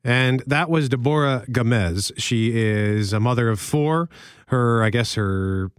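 A man's voice: medium pitch, unhurried, smooth, dry recording, studio quality. A man co-hosting a live radio show, talking in a casual, conversational way. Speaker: radio co-host.

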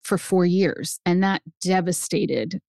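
A woman in her fifties whose first language is Spanish, telling her family story as a guest author, animated and jumping up in pitch for emphasis. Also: takes it slowly, vocal fry, dry recording, studio quality. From United States. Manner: animated, swings up high for emphasis. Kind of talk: personal storytelling in an interview.

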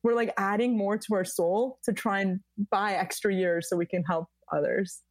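A woman in her thirties from the United States, a high-energy podcast guest who tells animated stories in casual chat. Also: normal speed, dry recording.

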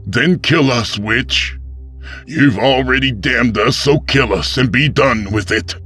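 A man's voice: mid-range voice, takes it slowly, pushed and bright, soft background music, voice pushed up. A man voicing a zealous, scornful small-town pastor with a preachy manner. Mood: defiant. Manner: preachy, contemptuous.

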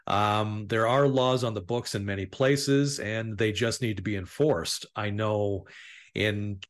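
A man co-hosting a casual discussion, speaking in a deliberate, slightly wry manner. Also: on the low side, fairly even intonation. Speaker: talk show co-host.

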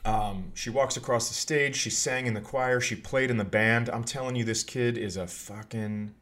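A middle-aged man with an average-pitched voice tells anecdotes as a comedian would on a podcast. He talks casually and rambles, swearing freely as he goes. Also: steady tone, moderate pace, a bit bright. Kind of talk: casual comic storytelling.

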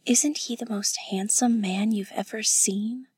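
A woman voicing an earnest, gentle young woman in an audiobook, with a soft, pleading manner. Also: mid-range voice, fairly even intonation, unhurried, slightly rough, no room sound, studio quality. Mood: dreamy.

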